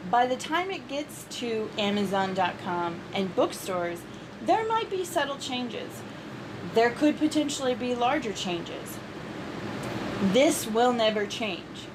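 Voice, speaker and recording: A woman writer speaking carefully and deliberately, like an amateur reading her own work aloud. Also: a little high. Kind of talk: amateur announcement and audiobook narration. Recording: clear steady background noise.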